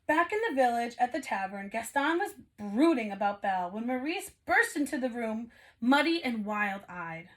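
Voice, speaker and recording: A woman who teaches kindergarten prep, reading a storybook to young children in an expressive, animated way. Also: on the high side, normal speed. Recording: clear background noise.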